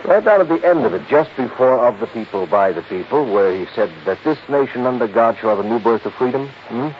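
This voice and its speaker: An American actor playing a fussy, worried, middle-aged insurance manager in a 1950s radio drama, explaining things emphatically. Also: male voice, mid-range voice, animated, fairly quick, natural voice. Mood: insistent, explaining. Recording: muffled, hissy 1950s radio recording.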